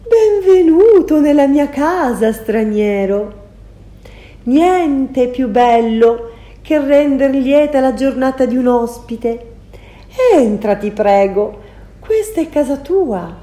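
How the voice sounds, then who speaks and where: A woman's voice: high voice, animated, slow, mellow, voice pushed up. A woman voicing a scheming sorceress in a storybook reading, sweet and mocking by turns. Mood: sweetly welcoming. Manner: sugary then mocking, cackling. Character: sorceress woman, scheming, cruel then pleading. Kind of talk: storybook character voice.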